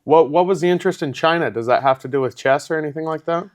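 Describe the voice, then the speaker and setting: A man's voice: on the high side, quick pace. A man in his thirties hosting a podcast, asking friendly, rambling questions. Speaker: podcast host. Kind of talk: interview questions.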